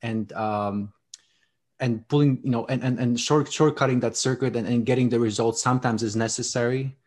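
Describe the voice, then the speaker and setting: A man's voice: mid-range voice, fairly even intonation, moderate pace, darkish tone. A man whose first language is Polish, speaking English as an interview guest, earnest and full of restarts. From Poland. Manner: earnest, many restarts and fillers. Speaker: guest author. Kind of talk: conversational interview.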